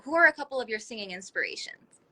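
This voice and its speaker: A woman's voice: fairly high pitch, animated, fast talker, sharp tone. A woman hosting a casual livestream interview, chatting with her guest in an effusive, encouraging way. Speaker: talk show host interviewing a guest. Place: livestream video call from a phone.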